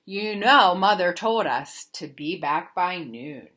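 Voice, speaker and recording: A woman reading a children's picture book aloud like a teacher, with expressive, deliberate, sing-song emphasis. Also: lowish voice. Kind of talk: animated children's storybook read-aloud.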